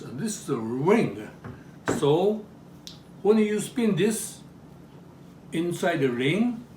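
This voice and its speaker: Male demonstrator, Japanese accent, higher-pitched and lively intonation, frequent questions to audience, roomy distant-mic sound. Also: a little hiss or hum. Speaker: presenter demonstrating traditional toys. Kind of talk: live show-and-tell demonstration.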